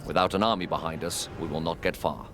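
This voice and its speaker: A man's voice: low voice, fairly even intonation, fast, background noise, lightly acted. A man playing a resolute military leader in a fantasy video game, commanding and declamatory. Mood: grave, resolute. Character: commanding, resolute male war leader addressing soldiers. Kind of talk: dramatic video game acting. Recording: music behind the voice.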